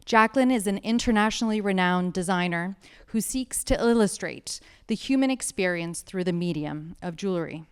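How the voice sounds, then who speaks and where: A woman hosting a gallery event, reading a formal, measured introduction to an audience in an event hall. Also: medium pitch, smooth. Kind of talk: scripted introduction.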